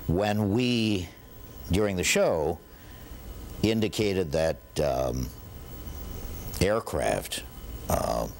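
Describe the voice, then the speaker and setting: A middle-aged man with a fairly low voice that swings widely in pitch. He recalls events for a documentary, halting and deliberate, with some noise behind him. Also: lively intonation, creaky. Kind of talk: recollection in a documentary interview.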